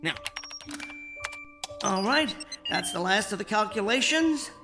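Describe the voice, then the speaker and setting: A man's voice: high voice, animated, takes it slowly, over music, heavily acted. A man acting in a radio drama as an earnest, henpecked husband and amateur scientist, loyal and defiant, speaking emphatically. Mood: tense, awkward.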